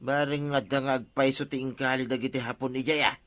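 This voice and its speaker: A man's voice: medium pitch, steady tone, quick pace, a bit bright, dry recording, close to an everyday voice. A man with an Ilocano accent playing a stubborn, grumbling treasure-hunting villager in a Filipino comedy radio drama. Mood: hopeful.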